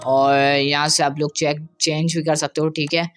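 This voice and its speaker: A man hosting a casual, step-by-step software tutorial. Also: fairly high pitch, little pitch movement, moderate pace, soft background music. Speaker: tutorial host.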